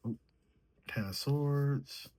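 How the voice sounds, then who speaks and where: A man with a mellow, sleepy way of talking, reading tarot cards and thinking aloud as he draws them. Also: mid-range voice, extremely slow, low-quality recording. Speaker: tarot reader. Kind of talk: solo tarot reading, casual chat.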